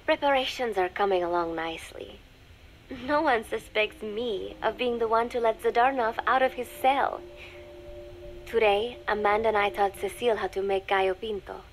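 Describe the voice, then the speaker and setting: A woman voicing a young undercover spy in a video game, dictating a private diary in a measured, confiding, dryly scornful way. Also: medium pitch, slight background noise, lightly acted, rough recording. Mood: guarded, scornful. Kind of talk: scripted dramatic diary narration for a game.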